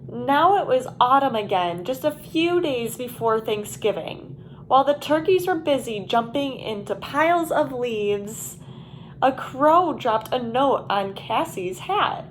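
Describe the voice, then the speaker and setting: Slow, high-voiced woman reading a children's story. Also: slight background noise, poor audio. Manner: deliberate, animated storytelling to young children. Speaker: teacher reading a picture book aloud. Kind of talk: slow expressive children's read-aloud.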